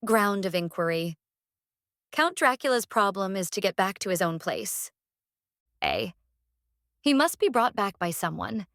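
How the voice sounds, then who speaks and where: A woman playing an earnest, devoted young wife reading her journal aloud in a dramatic audiobook, with careful, deliberate reasoning. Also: mid-range voice, big pitch swings, fairly bright tone, no room sound, clean studio sound. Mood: analytical, deliberate. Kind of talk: dramatic audiobook narration of a diary.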